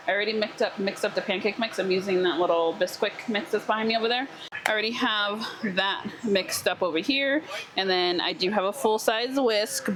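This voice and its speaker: A woman's voice: medium pitch, background noise. A young woman from California chatting casually with many ums while she cooks in her home kitchen. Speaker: homestead vlogger. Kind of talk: casual cooking vlog chat.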